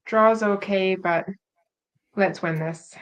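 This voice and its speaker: A woman's voice: medium pitch, fairly even intonation, slow pace. A woman from Scotland with a North American-influenced accent, co-hosting a casual podcast in an earnest, upbeat way. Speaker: podcast co-host.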